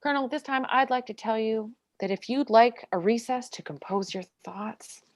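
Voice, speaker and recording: A woman's voice: a little high, moderate pace, natural voice. A woman playing a dogged military defense lawyer in a dramatic script reading, questioning a witness in a pointed, insistent way. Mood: formal.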